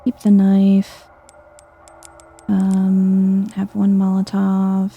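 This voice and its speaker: A soft-spoken woman giving a chill, quiet ASMR-style commentary as she plays a video game. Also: medium pitch, completely flat delivery, extremely slow, faint music behind. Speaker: gaming video host.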